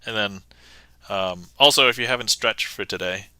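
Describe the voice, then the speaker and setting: A man's voice: lowish voice, normal speed, sharp tone, smooth, no room sound. A man hosting a magic livestream, chatting casually to viewers with lots of fillers and restarts. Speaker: magician streamer.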